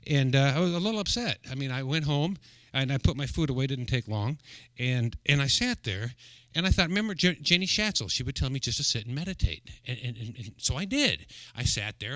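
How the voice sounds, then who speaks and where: A man hosting a talk show, chatting in a halting way and often repeating words as he tells stories. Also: on the high side, pushed and bright, no room sound. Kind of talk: comedic monologue and show hosting to an audience.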